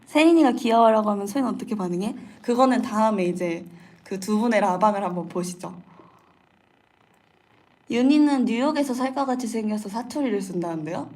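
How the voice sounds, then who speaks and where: Young woman idol hosting a live chat, teasing, relaxed banter. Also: mid-range voice, a little fast. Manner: playful, teasing questions. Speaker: idol group member hosting a livestream. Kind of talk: casual livestream chat.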